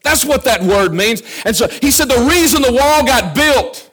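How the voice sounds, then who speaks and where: High-voiced fervent preacher man. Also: animated, normal speed, husky. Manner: fervent preaching, often dropping to quiet asides. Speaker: pastor. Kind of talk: sermon. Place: church.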